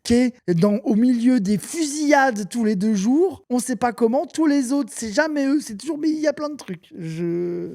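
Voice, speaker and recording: A man's voice: very high-pitched, expressive, normal speed, few pauses, warm tone, dry recording, studio quality. A man live-streaming his reaction to a video, mocking it with sarcastic asides and frequent laughter.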